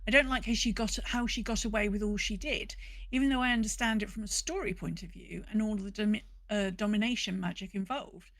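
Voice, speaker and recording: A woman's voice: mid-range voice, fairly quick, no room sound. A woman co-hosting a podcast, chatting casually and reading out listener submissions. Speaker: podcast co-host.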